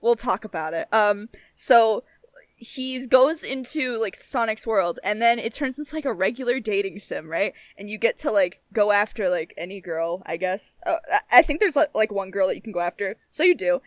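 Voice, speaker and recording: A young woman co-hosting a casual chat, giggly and sweary, telling things in halting bursts full of "like". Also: mid-range voice, expressive, no echo. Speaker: podcast co-host. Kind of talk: casual chat, retelling a game.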